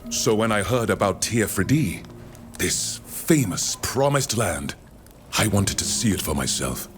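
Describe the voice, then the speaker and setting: Low-voiced, courteous older scholar. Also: male voice, sing-song. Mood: reflective. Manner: measured, formal, courteous. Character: learned older male naturalist, courteous and reflective. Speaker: scholar from the continent. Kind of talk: scripted video game dialogue. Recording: music behind the voice.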